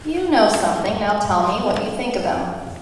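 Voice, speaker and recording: A woman's voice: medium pitch, big pitch swings, moderate pace, natural voice. A woman playing a brash, foul-mouthed, domineering thrill-seeker in a table read. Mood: dismissive, pushy. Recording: very echoey hall, far mic, faint noise.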